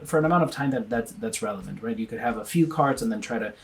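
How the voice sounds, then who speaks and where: A man from Venezuela, a pediatrician guest on a video call, with a voice at an ordinary middle pitch. He talks earnestly and thinks aloud as he goes, often restarting his sentences. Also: animated, quick pace. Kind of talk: conversational interview answers.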